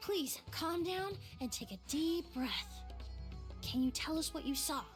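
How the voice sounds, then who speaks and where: A woman voicing a calm, polite, observant young investigator in an anime video game, speaking in a composed, measured way. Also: high voice, faint music behind. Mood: calm, reassuring.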